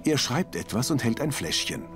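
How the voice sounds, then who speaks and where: A man reading scripted audio description in a measured, neutral way. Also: medium pitch, big pitch swings, over music. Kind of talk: scripted narration.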